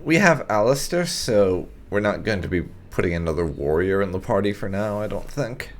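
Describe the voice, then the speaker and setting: A man's voice: lowish voice, expressive, moderate pace. A man giving casual gameplay commentary as host, musing and thinking aloud. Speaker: gaming video host. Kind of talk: casual gameplay commentary, lightly role-played. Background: game music.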